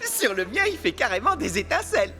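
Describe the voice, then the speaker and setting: A performer voicing a cheerful, curious young child hero in a French animated series, eager and expressive, full of exclamations. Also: mid-range voice, brisk, faint music behind. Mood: friendly.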